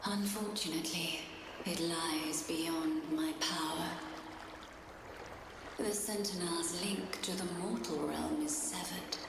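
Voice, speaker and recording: A woman voicing a solemn, ancient female spirit guardian in a video game, speaking in stately archaic phrasing with breathy, softly whispered endings. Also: on the low side, fairly even intonation, slow pace, background noise. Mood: grave, stern. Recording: cavernous reverb over music.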